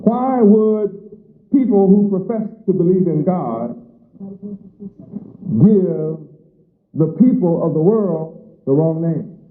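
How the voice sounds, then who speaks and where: A man preaches in a measured, emphatic cadence, stacking phrase upon phrase. His voice sits on the higher side. It comes through a thin, phone-like old recording that rings with room echo. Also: animated. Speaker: religious teacher. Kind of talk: sermon. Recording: echoey lo-fi phone-like archive recording.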